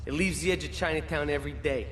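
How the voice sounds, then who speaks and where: An actor playing a cocky, streetwise mob crook who hands out jobs in a video game cutscene. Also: male voice, a little high, fast talker, a bit bright, close to an everyday voice. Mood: brisk, cocky. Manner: slangy streetwise wiseguy talk. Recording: long reverb, noisy game ambience.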